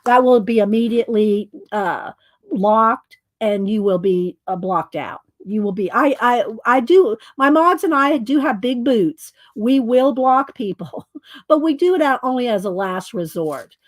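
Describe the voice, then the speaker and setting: A woman's voice: fairly high pitch, lively intonation, a little slow, no echo. A middle-aged woman, chatting while making art as host of her crafting channel, rambling, interrupting herself, and laughing often. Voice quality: loud voice. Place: home art studio.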